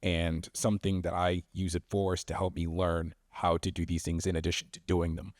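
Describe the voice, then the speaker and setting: A man from the audience with a very low, flat voice asks the panel a long question. He speaks loudly, wanders, and leans on ums as he goes. The sound is dry, with no echo. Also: normal speed. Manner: loud, rambling, hesitant with ums. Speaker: audience member asking a question.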